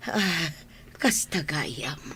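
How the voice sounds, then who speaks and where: Motherly older housemaid, lively intonation actress. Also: deep voice, very slow, husky, heavily acted. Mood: curious, motherly. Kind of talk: audio drama acting in dialogue.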